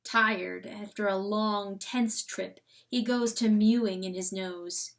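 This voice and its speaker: A woman reading a novel aloud as dramatic narration, with theatrical, deliberate phrasing. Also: mid-range voice, steady tone, creaky. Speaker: audiobook reader.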